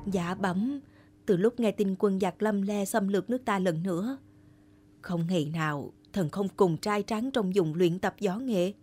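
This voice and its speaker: A woman voicing a humble, earnest young male peasant in an animated folktale, respectful and formal. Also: medium pitch, unhurried, breathy edge.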